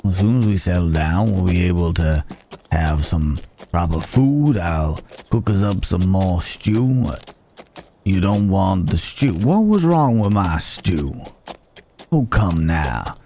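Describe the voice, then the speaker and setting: A rugged cowboy drifter, acted by a man for an ASMR roleplay, with a very low, gravelly voice. He talks slowly in folksy Old West phrasing, pausing as he goes, gently teasing and protective, with faint crickets in the night behind him. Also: lively intonation, slight background noise, heavily acted. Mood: teasing, good-humored. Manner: folksy old-West drawl phrasing, unhurried, hesitant pauses. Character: rugged wandering cowboy stranger, gently teasing, dangerous but caring. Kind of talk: ASMR roleplay, intimate acted monologue to the listener.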